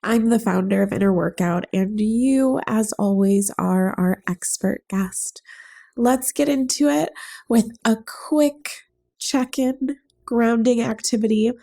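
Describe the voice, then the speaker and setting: Young woman, podcast host with a stuffed-up head cold, mellow voice, earnest and gentle. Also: a little high, slow, vocal fry. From United States. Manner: earnest, gentle, thinking aloud. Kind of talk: reflective solo podcast talk.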